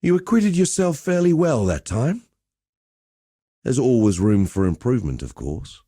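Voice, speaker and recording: A man's voice: medium pitch, big pitch swings, unhurried, mellow, dry recording, clean studio sound. A man playing a cocky, easygoing gladiator master in a video game, teasing with wry, conversational swagger. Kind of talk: video game character dialogue.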